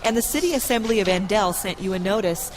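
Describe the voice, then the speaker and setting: An actress playing a dutiful, matter-of-fact secretary, polite but dryly insistent, in an audio drama. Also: female voice, medium pitch, lively intonation, fast talker, a little hiss or hum, lightly acted.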